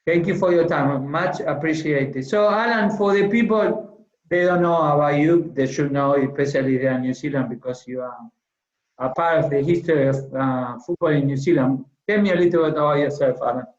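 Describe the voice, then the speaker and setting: A man from Argentina with a fairly high voice and an Argentine Spanish accent speaks as an interviewer. His manner is friendly and appreciative. The recording is echoey and somewhat muffled. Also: moderate pace. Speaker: interviewer, football coach. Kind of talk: conversational interview. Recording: muffled, echoey room sound.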